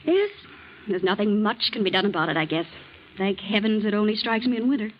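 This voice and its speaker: A reserved woman played by an actress in a 1940s radio drama, coolly indifferent to her suitor. She answers tersely and guardedly in a mid-pitched voice. The sound is tinny, with faint noise. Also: expressive, fairly quick, close to an everyday voice. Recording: tinny, noisy old radio recording.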